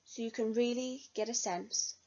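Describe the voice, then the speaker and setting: Gravelly young woman reading a self-review. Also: fairly high pitch, steady tone, moderate pace, a little mellow. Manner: earnest, read-aloud delivery. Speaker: music student. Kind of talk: reflective spoken self-review. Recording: dull lo-fi recording.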